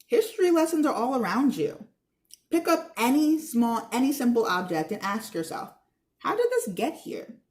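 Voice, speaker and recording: A woman's voice: on the high side, very animated, moderate pace, voice pushed up. Woman reading as earnest, kindly storybook handyman. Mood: earnest, encouraging. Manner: explanatory, earnest, encouraging. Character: kindly, wise handyman sharing history, storybook character.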